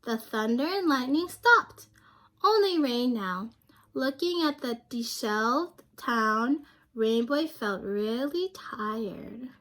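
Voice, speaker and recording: A woman's voice: a little high, expressive, slow, fairly bright tone. A young woman reading a storybook aloud to children, speaking simply and expressively and prompting her listeners with questions. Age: young adult.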